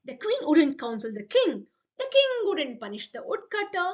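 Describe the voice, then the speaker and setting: A woman's voice: sits high, very animated, moderate pace, lo-fi sound. A woman voicing a small female sparrow in a children's folk tale, persistently pleading in a plaintive, repetitive chant. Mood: pleading, insistent.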